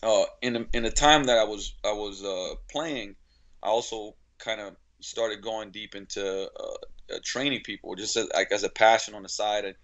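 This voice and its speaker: A man from Slovenia speaks English as a podcast guest, in a low-key lo-fi recording with a slightly dull sound. He talks informally, telling stories in a halting, repeating flow. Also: fairly even intonation, fairly bright tone. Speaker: podcast guest, strength coach and gym owner. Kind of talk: casual conversational storytelling.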